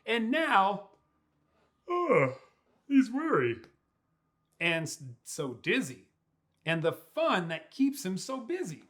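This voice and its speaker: A young man reading a children's storybook aloud in a playful, expressive way with drawn-out words. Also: sits high. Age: young adult. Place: small furnished room indoors.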